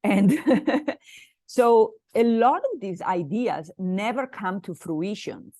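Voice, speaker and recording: A woman from Italy with an Italian accent, speaking haltingly as a coach being interviewed, with pauses and repeated phrases. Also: medium pitch, animated, a little mellow, no room sound. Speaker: interview guest, business mastermind coach. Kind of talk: conversational interview.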